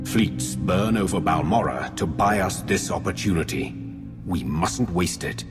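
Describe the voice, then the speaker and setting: Very deep, solemn Sith advisor. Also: male voice, expressive, a little slow. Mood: solemn, formal. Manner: formal, grave. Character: solemn, loyal male Sith lord serving as tactical advisor. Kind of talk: video game voice acting. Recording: music behind the voice.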